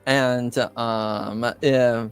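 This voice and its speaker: A man running a tabletop game as game master, improvising narration and often pausing to think mid-sentence. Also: mid-range voice, fairly even intonation, smooth, soft background music.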